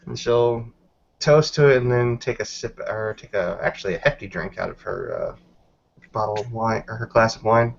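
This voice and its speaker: A man's voice: on the low side, normal speed, vocal fry, muffled recording. A man casually narrating a character's actions in third person during a tabletop roleplaying game.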